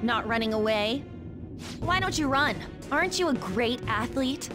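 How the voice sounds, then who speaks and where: A woman's voice: on the high side, animated, a little slow. A fierce masked teen girl. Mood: taunting. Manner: cold, taunting, then furious. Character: fierce masked teenage girl, angry avenger. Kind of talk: video game cutscene voice acting. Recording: game audio with very loud music.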